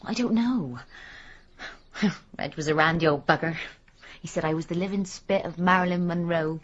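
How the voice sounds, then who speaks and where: An actress playing a blunt, confiding working-class village wife in a radio drama. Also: female voice, lowish voice, normal speed, lo-fi sound, a little hiss or hum, close to an everyday voice.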